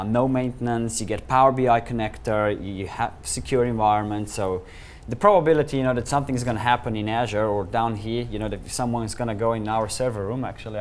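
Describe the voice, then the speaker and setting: A man's voice: medium pitch, slight background noise. A man from Slovenia with a Slovenian accent, giving a conference talk as a solution architect and telling the story with chuckles and fillers. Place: meeting room with audience.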